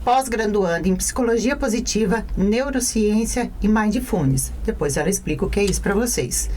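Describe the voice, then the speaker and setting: A woman's voice: medium pitch, lively intonation, moderate pace, darkish tone, a little hiss or hum. Chatty woman hosting a podcast. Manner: affectionate, chatty, self-deprecating. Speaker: podcast host. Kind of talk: podcast interview.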